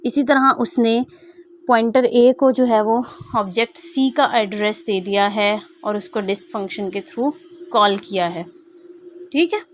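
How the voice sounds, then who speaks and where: A woman teaching programming in a screen-recorded tutorial, reading code output slowly word by word and asking rhetorical questions. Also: on the high side. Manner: rhetorical questions, frequent "ठीक है" check-ins. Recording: faint background noise.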